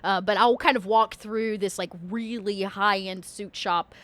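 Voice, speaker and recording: A woman's voice: mid-range voice, fairly even intonation, measured pace, fairly bright tone, dry recording. A woman player describing her actions in a tabletop game in an informal, meandering way. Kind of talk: casual scene narration.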